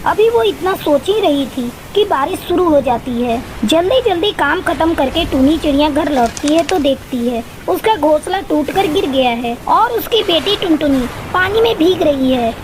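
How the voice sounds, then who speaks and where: A woman's voice: high-pitched, expressive, normal speed, few pauses, background noise. A woman narrator reading a scripted children's cartoon story.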